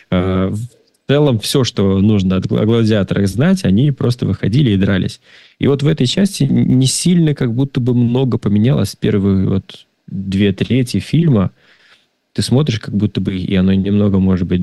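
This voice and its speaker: A man giving a casual film review in conversation, speaking in a halting, rambling way and often repeating words. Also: on the low side, vocal fry, warm tone, dry recording, studio quality. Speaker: film discussion participant.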